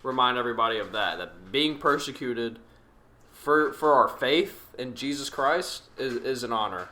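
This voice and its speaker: Young male co-host, bright voice, dry humor. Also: mid-range voice, lively intonation, moderate pace. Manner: dry, joking. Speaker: podcast co-host. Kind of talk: casual chat.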